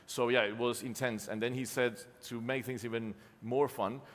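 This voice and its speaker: A man's voice: mid-range voice, fairly even intonation, normal speed. A man in his forties giving a casual, self-deprecating conference talk from slides, with many ums and restarts. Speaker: conference speaker, company co-founder. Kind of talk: live conference keynote with slides. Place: conference hall. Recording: long hall reverb.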